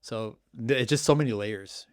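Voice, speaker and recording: A man hosting an interview, relaxed and reflective, thinking out loud as he talks. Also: lowish voice, moderate pace, no room sound, studio quality. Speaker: host. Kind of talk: casual conversational interview.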